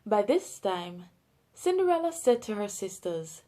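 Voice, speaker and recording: A woman with a Jamaican accent narrating a children's storybook in an expressive, deliberate way. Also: mid-range voice. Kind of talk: scripted storybook read-aloud for young children.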